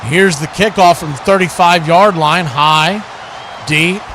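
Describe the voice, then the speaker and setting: Higher-voiced man calling football, noisy background. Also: a little slow, fairly bright tone. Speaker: play-by-play commentator hosting a watch party stream. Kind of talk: live football commentary, part play-by-play, part casual analysis.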